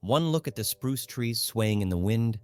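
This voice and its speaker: A man's voice: medium pitch, animated, normal speed, clear, resonant tone, faint music behind. A man narrating a literary audiobook in a scripted, dramatic storytelling style.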